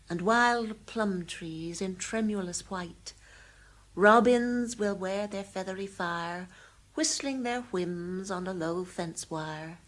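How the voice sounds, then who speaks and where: A woman playing the serene, polite voice of an automated house in an audio drama, formal and measured. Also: on the low side, a bit bright, low-quality recording. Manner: measured, formal, unhurried recitation. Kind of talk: audio drama acting, recited poem.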